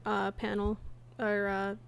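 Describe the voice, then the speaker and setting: A woman chatting casually on a livestream as she works, halting and half talking to herself in an absent-minded way. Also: mid-range voice, monotone, creaky, clean tone. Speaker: livestreamer and comic dub creator. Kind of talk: casual livestream chat while working. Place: indoors at a computer, streaming.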